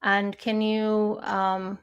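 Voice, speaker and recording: Woman, mid pitch, live show host, chatty, short prompting questions, video call. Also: level tone, extremely slow, smooth, muffled recording. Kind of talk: live true crime discussion.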